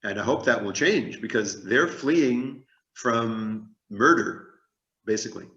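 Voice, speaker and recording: A man's voice: mid-range voice, animated, pushed and bright. Male speaker, hesitant answer, dull lo-fi audio with room echo. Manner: hesitant, pausing, answering a question. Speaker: guest speaker. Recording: echoey, muffled.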